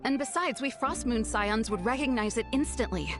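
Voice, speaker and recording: An actress playing a guarded, conflicted young female scout in a video game, brusque and at times halting. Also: fairly high pitch, lively intonation, music in the background, lightly acted.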